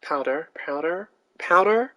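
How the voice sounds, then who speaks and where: A woman's voice: sits low, animated, a little slow, noisy background. A woman voicing a wry, hesitant young male lawyer from a video game. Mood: puzzled, wary.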